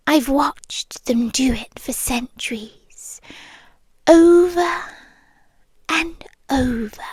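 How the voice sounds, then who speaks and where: Casting: woman to play possessive British vampire girlfriend for ASMR roleplay. High, hushed, breathy voice, very slow delivery, lovesick and ancient. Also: dry recording, exaggerated voice. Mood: wounded, intense. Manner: hushed, breathy, slow and lingering, often whispering. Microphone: close microphone, dry.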